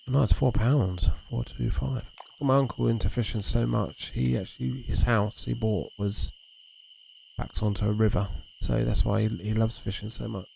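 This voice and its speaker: Gravelly, low-voiced man chatting. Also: normal speed, a little hiss or hum, poor audio. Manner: relaxed, rambling, talks to chat and reads messages aloud. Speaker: livestream host. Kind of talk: casual chat while streaming a fishing game.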